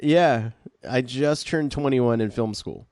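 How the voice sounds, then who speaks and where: A man in his late twenties hosts a podcast in a relaxed chat, with a mid-range voice. He teases his guest and laughs easily, and the sound is dry, with no room around it. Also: mid-range voice, slow pace, no echo. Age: 20s. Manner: teasing, laughs often. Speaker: podcast host. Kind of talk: casual chat.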